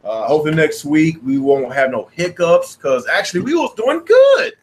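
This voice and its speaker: Higher-voiced American man hosting casually. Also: sing-song, moderate pace. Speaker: podcast host. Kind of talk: casual gaming podcast chat. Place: small game room at home, indoors.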